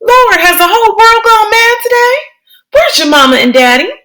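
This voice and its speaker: A woman's voice: very high-pitched, expressive, normal speed, pushed and bright, voice pushed up, exaggerated voice. A woman voicing a stern, fussy elderly grandmother, scolding in a drawl.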